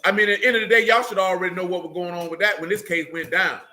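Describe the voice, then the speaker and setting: A man's voice: sits high, fairly even intonation, racing, pushed and bright. A man with speech teaching a religious group informally, emphatic and streetwise, often checking in with his listeners.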